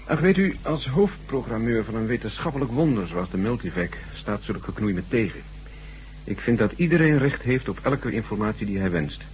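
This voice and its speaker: An actor playing an obliging, principled chief computer programmer in a radio drama, measured, courteous and confiding. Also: male voice, lowish voice, close to an everyday voice. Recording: noisy, humming old radio recording.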